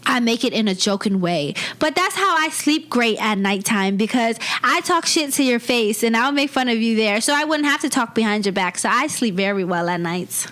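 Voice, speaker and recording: A woman's voice: on the high side, expressive, moderate pace, hardly any pauses, fairly bright tone. Blunt laughing woman in her twenties. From Vietnam. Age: 20s. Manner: blunt, candid, laughs often. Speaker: comedian guest. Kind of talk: interview storytelling.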